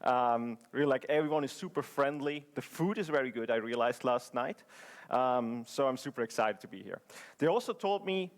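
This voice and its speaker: A man's voice: medium pitch, lively intonation, normal speed. A man from Switzerland giving a conference presentation in English, chatty and joking, with many fillers and restarts. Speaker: conference speaker. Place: conference hall.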